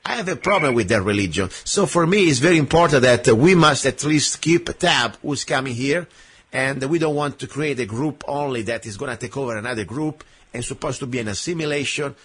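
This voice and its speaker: Italian guy hosting a talk radio show, husky voice, middle pitch, keeps saying uh and you know. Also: expressive, a little fast, fairly bright tone. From Italy. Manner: emphatic, with frequent "uh" and "you know". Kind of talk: opinionated political radio chat.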